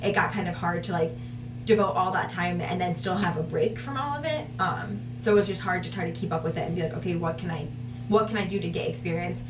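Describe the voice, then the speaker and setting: A woman's voice: lowish voice, quick pace. A woman in her twenties chatting casually to camera as a vlog co-host. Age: 20s. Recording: roomy echo, distant mic, noisy.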